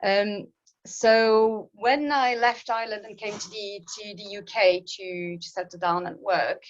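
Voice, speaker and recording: A woman's voice: mid-range voice, dull audio. A woman in her fifties, a native French speaker with an Alsatian French accent, telling of her life with languages in a hesitant, restarting way. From France. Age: 50s. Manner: hesitant, many restarts and ums, laughs at herself. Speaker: guest speaker. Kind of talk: personal talk on language.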